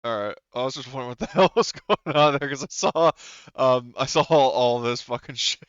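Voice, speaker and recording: A man acting as game master, narrating a tabletop game in a casual, halting, improvised way and swearing freely. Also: mid-range voice, clear, resonant tone, no room sound, dull audio.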